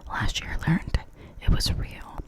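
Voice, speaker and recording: An ASMR storyteller reading spooky local legends in a soft, unvoiced whisper, now and then stumbling and correcting themself. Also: measured pace. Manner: soft unvoiced whisper throughout, with small slips and self-corrections.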